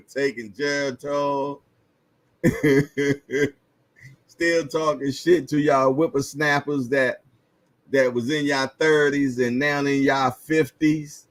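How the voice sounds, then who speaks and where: A man in his fifties hosts a boxing livestream in a slow, unhurried drawl. He chuckles often, repeats himself and trades slangy banter with his viewers. His voice sounds close and dry, with no room echo. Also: medium pitch, steady tone. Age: 50s. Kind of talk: casual livestream chat reading viewer comments.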